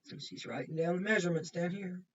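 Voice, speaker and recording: A woman's voice: on the low side, quick pace, slight background noise. A woman, a kindergarten teacher reading a picture book aloud to children and adding simple explanations and questions. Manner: simple explanatory phrasing, pointing things out, repeating and asking questions. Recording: muffled, distant microphone.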